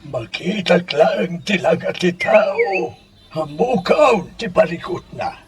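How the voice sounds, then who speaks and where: A man's voice: sits high, lively intonation, slow, over a noisy crowd. A man with a Filipino Ilocano accent playing a cantankerous, scolding old man in a radio comedy drama. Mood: lecturing. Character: cantankerous old man threatening with his cane.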